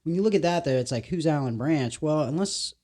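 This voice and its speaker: A man's voice: a little high, expressive, brisk, dark tone. A man hosting a local podcast, laid-back and informal, often saying dude and restarting his sentences. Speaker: podcast host. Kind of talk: casual interview chat.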